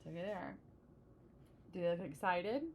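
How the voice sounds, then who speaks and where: A woman reading a children's picture book aloud, pausing for chatty asides and questions to the listener. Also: fairly low pitch, darkish tone, dull audio, a little hiss or hum. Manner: engaging, asks the listener questions. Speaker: storybook reader.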